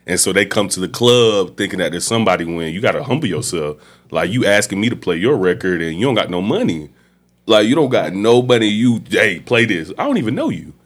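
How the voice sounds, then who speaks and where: A man's voice: on the low side, animated, fairly quick. A man with an Atlanta Southern accent talking casually as an interview guest, slangy and repeating words for emphasis. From Atlanta, Georgia, United States. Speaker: guest, club DJ. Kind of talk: casual podcast interview talk.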